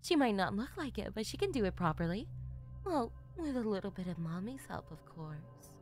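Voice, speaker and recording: A woman's voice: mid-range voice, big pitch swings, a little mellow, soft background music, pitched below the performer's own voice, natural voice. Woman acting teasing, doting anime mom. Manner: slow, coy, teasing endearments. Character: teasing, doting middle-aged mother, playfully possessive. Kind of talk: anime-style ASMR roleplay acting.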